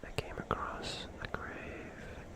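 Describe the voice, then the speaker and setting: ASMR storyteller, soft whisper throughout, slow halting phrasing with long pauses. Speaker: ASMR host telling a personal story.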